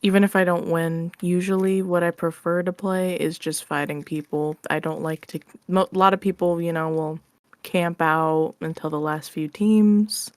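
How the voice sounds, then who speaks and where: A woman's voice: fairly low pitch, steady tone, normal speed, no room sound. A woman chatting casually as an interview guest on an online call, candid and self-deprecating in how she talks. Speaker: interview guest, audio roleplay creator. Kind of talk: casual chat.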